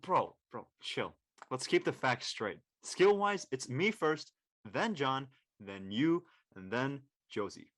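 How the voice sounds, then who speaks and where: Woman acting a cocky, husky, low-voiced college bro. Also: big pitch swings, slow pace, exaggerated voice. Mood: cocky teasing. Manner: brash, slangy, teasing. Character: cocky, teasing young college bro named Kellen. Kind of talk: acted audio drama dialogue.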